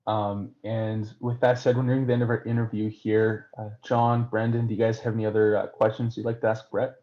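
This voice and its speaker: A man co-hosting a podcast interview, asking questions in a measured way. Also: on the low side, flat delivery, warm tone. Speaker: podcast co-host. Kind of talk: casual interview. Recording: dull lo-fi audio.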